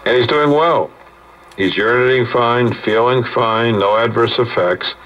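A man's voice: medium pitch, measured pace, highs cut off. A man speaking as a doctor promoting his practice on the radio, heard through a vintage set.

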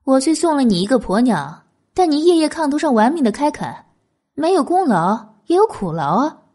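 A woman voicing a shrewd, resolute female strategist in an audiobook, measured, wry and commanding. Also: high voice, very animated, a little mellow. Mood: teasing.

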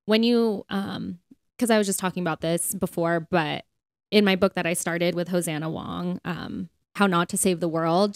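A woman speaks in a casual, chatty podcast style with an earnest delivery. Her pitch sits in the middle for a woman, and the sound is dry, with hardly any room on it. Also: no echo. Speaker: podcast co-host. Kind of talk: casual faith conversation.

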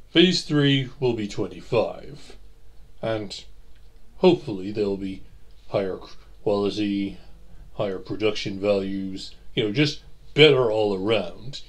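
A man speaks as host of an audio channel in a somewhat low voice. His pace is unhurried and halting, like a casual, reflective update to his followers. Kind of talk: casual rambling announcement to listeners.

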